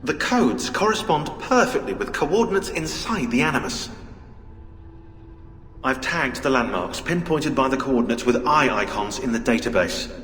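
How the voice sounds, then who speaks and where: A man playing a pedantic, dry historian in a video game, thinking aloud haltingly. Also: medium pitch, lively intonation, fairly bright tone, lightly acted. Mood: sardonic, intrigued. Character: fussy, pedantic, sardonic adult male historian on an assassin team. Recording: long echoing hall reverb, faint music behind.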